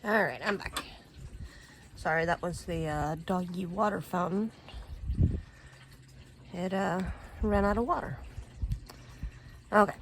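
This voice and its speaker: A woman in her thirties, a native Swedish speaker, chatting casually while crafting at home, rambling with lots of fillers and throat clearing. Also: lowish voice, slow, pauses often, noisy background. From Sweden. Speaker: crafting vlogger.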